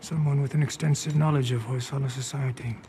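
An actor playing a menacing, mock-courteous rebel warlord in a video game, theatrical and taunting in his deliberate phrasing. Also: mid-range voice, steady tone, warm tone, a little hiss or hum, close to an everyday voice. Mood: scornful. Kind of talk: cinematic video game acting. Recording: dramatic music behind.

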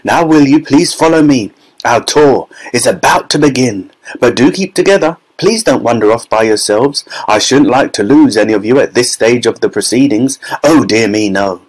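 A man voicing an excitable, effusive little factory owner in a storybook reading, with a fluty, fussy, exclamatory delivery. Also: mid-range voice, moderate pace, close to an everyday voice, low-quality recording. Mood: overjoyed, fussy.

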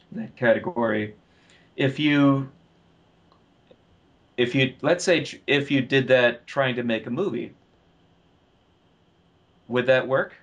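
A man co-hosting a casual discussion, speaking haltingly with many pauses and repeated phrases. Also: mid-range voice, dull audio. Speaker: podcast co-host. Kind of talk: casual film and game discussion.

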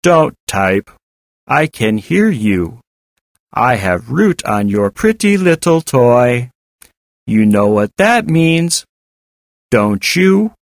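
A man voicing a malicious, taunting female robot in an audiobook, with a hard, artificial voice and drawn-out, mock-sweet delivery. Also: medium pitch, very animated, no room sound, clean studio sound. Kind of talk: audiobook character voice.

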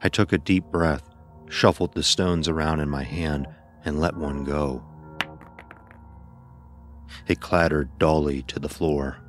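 A man playing an anguished blind youth, telling his ordeal in grave, dramatic, deliberate first-person horror narration. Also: rumbling low, fairly even intonation, faint music behind. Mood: grave, dread.

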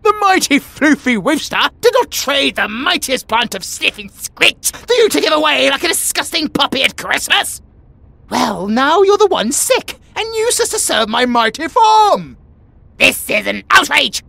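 A man's voice: very high voice, sing-song, measured pace, vocal fry, pushed and bright, no echo, full character voice. A British man voicing a haughty, bratty but secretly affectionate young tiger boy in a comedic audio roleplay, with pompous, theatrical outbursts. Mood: outraged.

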